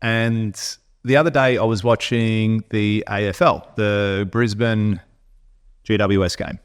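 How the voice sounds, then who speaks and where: Blunt Australian man ranting, low voice. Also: slow, clear, resonant tone. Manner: blunt, animated ranting with restarts. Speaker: podcast co-host. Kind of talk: casual opinionated chat.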